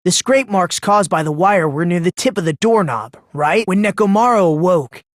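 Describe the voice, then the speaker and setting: An actor playing an eerily polite, smug high school boy in an anime game dub, talking in a condescending, leisurely, theatrical way. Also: lively intonation, moderate pace, no room sound, clean studio sound. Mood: smug explaining. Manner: condescending, leisurely, theatrical, rhetorical tag questions. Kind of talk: anime video game dub acting, deductive monologue.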